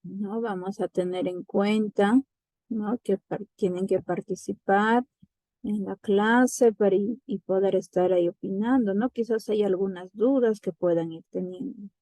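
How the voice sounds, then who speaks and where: A woman's voice: medium pitch, a little slow, warm tone, dry recording, poor audio. A woman from Peru teaching an online class, talking in a loose, casual way and tagging "¿no?" onto her sentences. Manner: casual, repeats "¿no?" often. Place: home, remote video class. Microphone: computer mic on video call.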